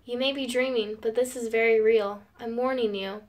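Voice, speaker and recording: A woman's voice: a little high, steady tone, normal speed, close to an everyday voice. A woman playing an urgent visitor in a dream who gives grave warnings, in an audio drama. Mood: ominous, urgent.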